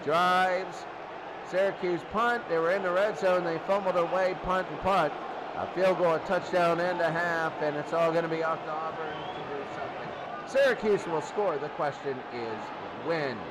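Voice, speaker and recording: A man's voice: a little high, moderate pace. A man doing live play-by-play football commentary and recaps in short, clipped, repetitive phrases. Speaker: play-by-play announcer. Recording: noisy, crowd roaring behind the voice. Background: loud stadium crowd noise.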